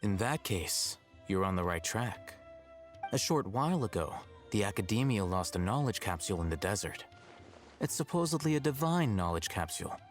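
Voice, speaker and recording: A man's voice: fairly low pitch, very animated, normal speed, faint music behind. A man playing a confident, aloof young scholar in a video game, composed, articulate and matter-of-fact. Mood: measured, matter-of-fact. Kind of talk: video game character acting.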